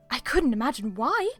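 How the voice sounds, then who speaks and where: A woman's voice: high voice, a bit bright, husky, full character voice. A woman playing a sly, self-assured librarian with arch, playful, theatrical phrasing in an audio roleplay.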